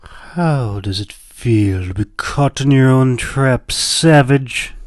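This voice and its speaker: A man's voice: medium pitch, animated. A man voicing a scornful, venomous ageless mermaid woman with a taunting hiss, in a fantasy audiobook. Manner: taunting, slow hissing.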